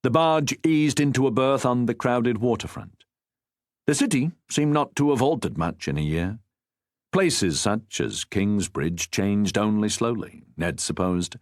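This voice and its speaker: A man narrating a historical novel as an audiobook, with a measured, formal storytelling delivery. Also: mid-range voice, expressive, dry recording, studio quality.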